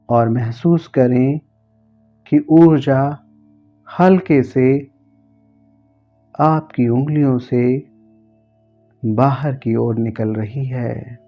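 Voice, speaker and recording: A man leading a guided relaxation as a yoga nidra guide, giving gentle instructions in short, spaced phrases. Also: mid-range voice, dull audio.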